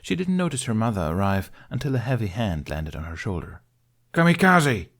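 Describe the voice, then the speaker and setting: Low-voiced man narrating a story. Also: sing-song, brisk, darkish tone. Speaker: audiobook narrator. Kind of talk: expressive scripted storytelling narration.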